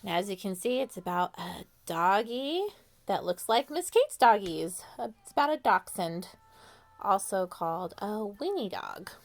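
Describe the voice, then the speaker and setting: A woman reading a picture book aloud to young children in an expressive way, with dramatic pauses and questions to her listeners. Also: mid-range voice. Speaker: children's storytime reader.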